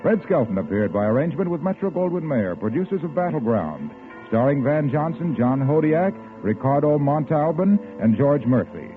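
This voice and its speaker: A man's voice: a little high, very animated, normal speed, soft background music. A man announcing commercials and credits for an old-time radio program, in a persuasive, salesy way. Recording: tinny old radio broadcast.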